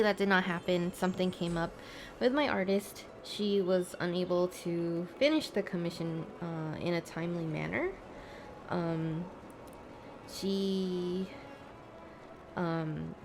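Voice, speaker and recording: A woman's voice: on the low side, slight background noise. A woman livestreamer chatting casually with her viewers, thinking aloud in a hesitant, rambling way. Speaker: live streamer.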